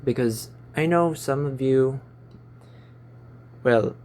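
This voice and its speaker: A man's voice: medium pitch, slow, a little mellow, slight background noise. A man in his twenties with a Filipino accent, chatting casually to his channel and stumbling over his words, recorded on a phone in a small stuffy room. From Philippines. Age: 20s. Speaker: YouTuber. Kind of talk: casual rambling vlog chat.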